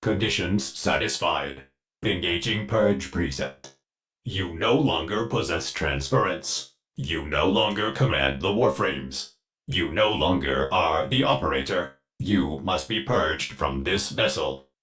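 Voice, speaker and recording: A man's voice: low voice, animated, slow pace, fairly bright tone, heavily acted. A man voicing a fussy, servile ship computer AI in a video game, speaking in a chirpy, formal and theatrical way with an undercurrent of violent glitches. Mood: cold, menacing. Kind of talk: video game character voice lines.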